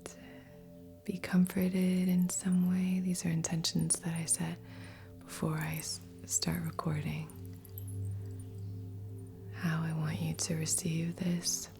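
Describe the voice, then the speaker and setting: A woman speaking in a soft, breathy whisper as a gentle, soothing host of an ASMR guided meditation. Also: lowish voice, monotone, takes it slowly, long pauses. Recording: soft music under voice. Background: background music.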